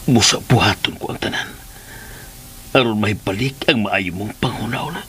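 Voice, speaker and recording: A man playing a devoted, gentle middle-aged partner in a radio drama, coaxing and expressive as he talks. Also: on the low side, moderate pace, natural voice. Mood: tender, reassuring. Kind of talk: Philippine radio drama acting. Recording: radio drama with music bed. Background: music.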